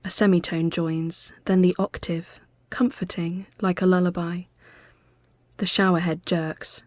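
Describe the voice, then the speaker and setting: A woman reading a literary ghost story as scripted narration, measured and often hushed as phrases end. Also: fairly low pitch, flat delivery, no room sound.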